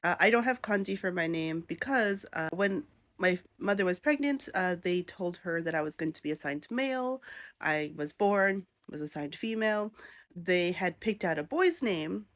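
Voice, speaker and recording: A woman's voice: lowish voice, animated, moderate pace, fairly bright tone, no room sound. Chatty woman vlogging to camera. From born in Japan, raised in New York City. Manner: chatty, candid, with fillers and self-asides. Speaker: content creator. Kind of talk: casual talking-to-camera vlog.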